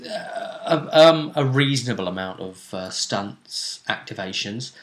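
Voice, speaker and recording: A bright-voiced man talks through a tabletop game fight, wry and chatty. His voice rings in an echoey room. He sounds relaxed, joking as he goes. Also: on the low side, a little slow. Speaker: game master. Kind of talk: recapping a tabletop game session.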